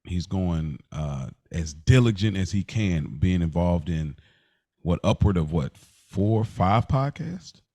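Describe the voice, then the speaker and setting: A man co-hosting a podcast, talking casually and reflectively in halting, deliberate phrases with repeated restarts. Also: low voice, lively intonation, warm tone, no echo. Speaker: podcast co-host.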